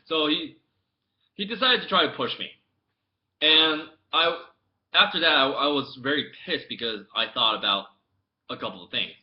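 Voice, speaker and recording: A man's voice: a little high, moderate pace, long pauses. Rambling young man on a dull laptop mic. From United States. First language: English. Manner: rambling storytelling with many restarts, swinging from mumbled asides to loud outbursts. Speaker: vlogger. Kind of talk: casual chat to camera. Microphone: laptop webcam mic.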